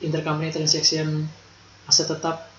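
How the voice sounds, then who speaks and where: A man's voice: fairly high pitch, monotone, normal speed, fairly bright tone. A young man gives an informal tutorial, speaking colloquially and repeating key points for emphasis. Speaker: accounting tutor. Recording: roomy echo, dull lo-fi, faint noise.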